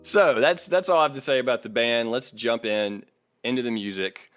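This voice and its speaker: A man's voice: mid-range voice, moderate pace, a bit bright. A man hosting a casual music podcast, with a wry, sardonic manner. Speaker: podcast host.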